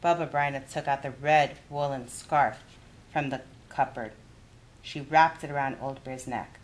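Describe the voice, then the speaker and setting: A woman narrating a children's story in an expressive read-aloud style. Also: a bass voice, measured pace. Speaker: youth adviser reading a children's story.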